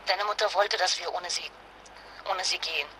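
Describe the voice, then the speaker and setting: An actress playing an anxious but determined wife and mother in a naturalistic radio drama, speaking haltingly and with emotion. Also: on the low side, steady tone, pushed and bright, a little hiss or hum, rough recording. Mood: distressed, apologetic.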